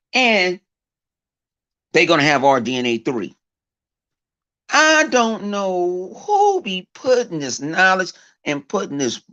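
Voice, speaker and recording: A man's voice: high-pitched, a bit bright. A man with a big voice, ranting in a casual vlog, profane and full of fillers and repeated words. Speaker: gaming YouTuber. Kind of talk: casual off-the-cuff vlog rant. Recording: dull lo-fi recording.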